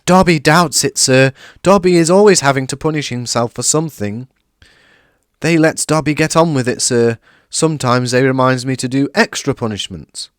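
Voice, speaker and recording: A man voicing a small, servile and tearful male house elf who talks about himself by name in a high, excitable way. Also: moderate pace, clean tone, no echo, studio quality, lightly acted. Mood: earnest.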